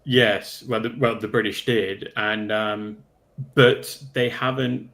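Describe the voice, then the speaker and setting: A man's voice: on the low side, steady tone, takes it slowly, creaky, a bit bright. A man talking casually in a livestream panel discussion, going into detail in a pedantic way. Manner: detailed, self-confessedly pedantic.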